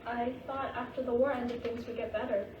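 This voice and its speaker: An earnest, sorrowful 1940s daughter, played by a woman in a staged reading. She pleads in simple phrases with a slightly high voice, somewhat far from the mic with clear noise behind. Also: fairly even intonation, fairly quick, highs cut off, lightly acted. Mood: pleading, sorrowful. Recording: echoey room, distant mic, clear hiss.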